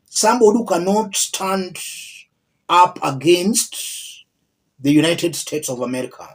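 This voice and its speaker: A man with a Kenyan accent giving political commentary to viewers, emphatic and deliberate, repeating key phrases for weight. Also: fairly high pitch, low-quality recording. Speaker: political commentator, channel host.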